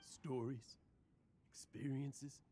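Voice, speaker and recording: An actor playing a weary, guilt-ridden old drunken adventurer in a video game, with a gravelly voice and dramatic, halting delivery. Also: male voice, medium pitch, steady tone, noisy background. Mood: sorrowful. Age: older.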